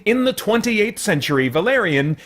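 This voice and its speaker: A man hosting a casual spoken movie review, rambling along with jokey, animated asides. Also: high voice, moderate pace. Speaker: film reviewer and host.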